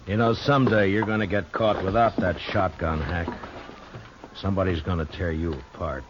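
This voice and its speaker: An actor playing a hard-bitten frontier marshal with an American accent, narrating and speaking tersely and grimly in an old-time radio Western. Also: male voice, sits low, steady tone, moderate pace, noisy background, close to an everyday voice. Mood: challenging. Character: steady, hard-bitten frontier marshal telling his story. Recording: dull old radio recording with music.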